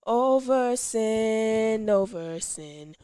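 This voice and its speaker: A woman singing a devotional gospel song in drawn-out phrases. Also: fairly high pitch, lively intonation, dark tone, clean tone, no room sound, clean studio sound. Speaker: singer. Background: musical accompaniment.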